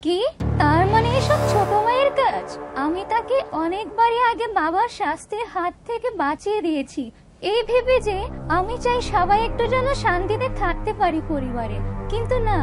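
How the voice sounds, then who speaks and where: A woman voicing a righteous, wronged young girl in a fairytale cartoon, earnest and resolute. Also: very high-pitched. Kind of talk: children's fairytale cartoon dubbing.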